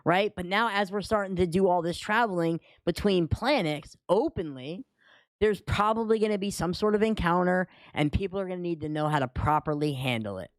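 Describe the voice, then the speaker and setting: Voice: mid-range voice, a little fast, no room sound. A speaker chatting casually in slangy language, swearing and often checking for agreement. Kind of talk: casual chat.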